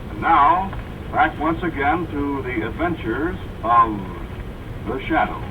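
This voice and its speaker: A man's voice: on the high side, slow pace. A man announcing a scripted 1940s radio advertisement in a polished, salesmanlike way. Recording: tinny distant old radio, vehicle noise.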